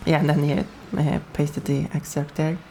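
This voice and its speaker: Low-voiced Swedish woman streaming a racing game. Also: flat delivery, normal speed, gravelly, mellow, soft background music. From Sweden. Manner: chatty, laughs often, talks to chat while racing. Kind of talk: casual gameplay commentary.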